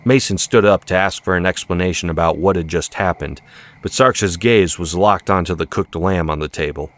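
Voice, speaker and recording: A man's voice: sits low, normal speed. A man reading a dramatic story as scripted audiobook narration. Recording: music behind the voice. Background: background music.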